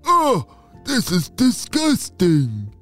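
A man's voice: high voice, huge pitch swings, very slow, fairly bright tone, soft background music, pitched above the performer's own voice, exaggerated voice. A man voicing a timid, reluctant, dim-witted ninja henchman in a children's story, hesitant and repeating himself. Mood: startled, disgusted.

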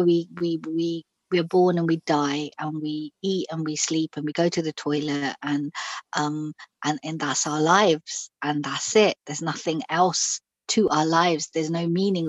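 A middle-aged woman from Pakistan tells her own story as an interview guest. Her voice sits low and husky, and the recording is dry and close. She speaks frankly and reflectively, with plenty of fillers and false starts. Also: dry recording.

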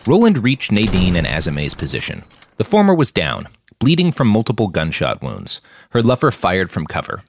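A man narrating fiction in an expressive audiobook style, acting out the dialogue as he reads. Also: low voice, normal speed, creaky, a little hiss or hum. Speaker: fiction podcast author and narrator.

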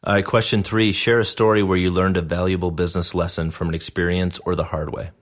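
A man's voice: low-pitched, level tone, fast talker. A man in his thirties hosting a casual interview, upbeat, asking questions and calling his guest "man.". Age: 30s. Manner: upbeat, asks questions, says "man" and "dude". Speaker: podcast host.

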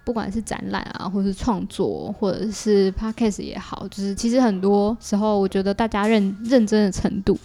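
A woman's voice: mid-range voice, steady tone, normal speed, hardly any pauses, warm tone, soft background music. Female guest, Taiwanese Mandarin accent, mid-range voice, casual explanatory chat with frequent agreeing interjections. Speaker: podcast guest, creator of audio works.

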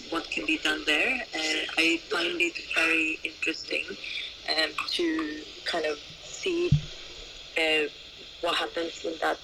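A woman from Norway giving casual interview answers as a guest, haltingly and with frequent pauses. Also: on the low side. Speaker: interview guest, bar owner. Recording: clearly noisy, background ambience. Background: background noise of an open bar.

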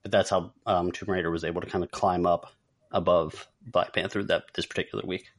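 Deep-voiced man hosting a podcast. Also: level tone, a little fast. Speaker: podcast host. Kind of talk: casual talk show moderating.

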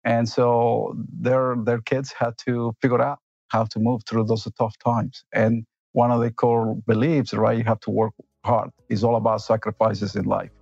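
A man's voice: mid-range voice, flat delivery, a little slow, gravelly, darkish tone, no echo, dull audio. A man in his fifties, from Argentina and Italy, giving reflective interview answers as a company executive, with frequent fillers and tag questions.

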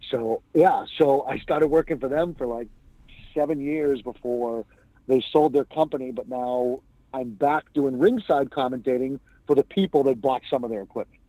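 A man's voice: medium pitch, moderate pace, dark tone, no room sound, poor audio. A man, a stand-up comedian, telling stories as a guest in a casual interview. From New England. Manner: chatty anecdotal storyteller.

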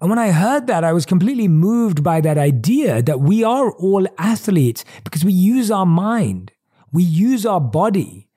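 A man giving a motivational talk, telling a reflective story and slowing down and stretching words to stress them. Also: high-pitched, big pitch swings, warm tone, slightly rough. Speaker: host.

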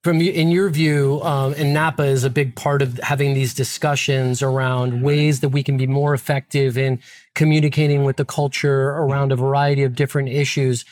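A man's voice: mid-range voice, level tone, normal speed, darkish tone, no echo. A man hosting a podcast, reading an upbeat intro and then interviewing a guest in a friendly manner. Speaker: podcast host.

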